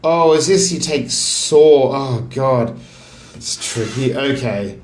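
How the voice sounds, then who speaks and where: A man's voice: animated, slow pace. Echoey man puzzling aloud. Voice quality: voice deeper than his usual high voice. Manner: musing, self-questioning, chuckly. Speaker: crossword solver and video host. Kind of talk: casual thinking-aloud puzzle commentary. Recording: echoey room.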